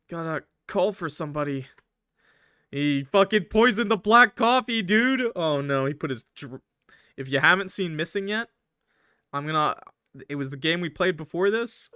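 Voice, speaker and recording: A man's voice: on the high side, pushed and bright, smooth, no room sound, studio quality. A man hosting a gaming video, reading visual novel narration aloud and adding informal, crude joking asides.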